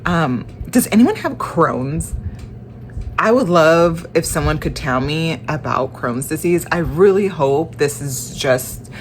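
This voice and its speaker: A young woman speaks slowly in a low voice, her nose stuffy and congested. She talks in a halting, tired way, often restarting her sentences, as in a casual personal vlog. A faint room ambience sits behind her. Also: lively intonation, slight background noise. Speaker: vlogger.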